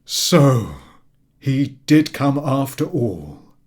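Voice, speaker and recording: A man voicing a kindly, generous old shoemaker in a storybook, with a hearty, gentle manner. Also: medium pitch, sing-song, very slow, pitched above the performer's own voice. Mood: joyful wonder. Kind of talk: storybook character acting.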